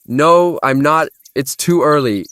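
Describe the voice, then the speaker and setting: A man's voice: fairly high pitch, takes it slowly, fairly bright tone, smooth, no echo. A man acting an easygoing, slightly stressed college-age artist in an audio drama, talking casually with some hesitation. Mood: groggy. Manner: casual, hesitant slangy talk.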